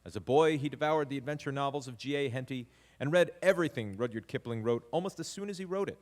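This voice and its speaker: An actor playing a grandson fondly narrating family history in a wry storytelling manner on stage. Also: male voice, mid-range voice, fast talker, close to an everyday voice. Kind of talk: dramatic monologue narration before live audience.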